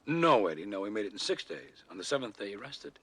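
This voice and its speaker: An actor playing a gentle, patient father of a young boy in a television sitcom, explaining things with affection and dry humor. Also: on the low side, big pitch swings, fast. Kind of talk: naturalistic television sitcom acting. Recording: noisy old TV soundtrack.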